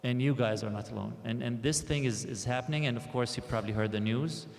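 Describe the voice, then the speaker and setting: A man's voice: medium pitch, quick pace, gravelly, darkish tone. A man from Lebanon speaking emphatically to an audience. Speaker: guest speaker. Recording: reverberant hall.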